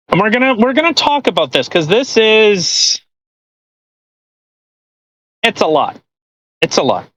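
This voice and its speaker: A man's voice: a little high, fairly bright tone, poor audio. A man hosting a podcast in casual, mocking commentary with crude joking. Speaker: podcast host.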